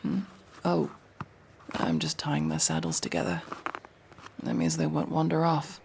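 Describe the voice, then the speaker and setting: A gravelly elf mercenary voiced by a man for a fantasy audio roleplay, guarded but gruffly protective. The pitch sits a little high for a man and moves freely, often dropping into heavy fry. The delivery is dry and matter-of-fact. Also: sing-song, measured pace, noisy background, heavily acted. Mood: dry, casual.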